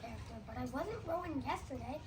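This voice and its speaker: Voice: sits high, lively intonation, fairly quick. A child of about eight or nine playing fairy-tale characters in a mock trial, reading lines in a school play. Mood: earnest. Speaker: student performer in a school reader's theatre. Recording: distant mic, very noisy room.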